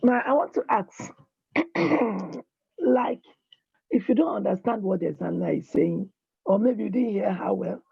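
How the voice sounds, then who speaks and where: Woman student, raspy and gravelly, hesitant and polite, on a dry, dull call line. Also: medium pitch, animated, measured pace, pauses often, no room sound. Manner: polite, hesitant, clears throat, addresses tutor as ma. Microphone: video call.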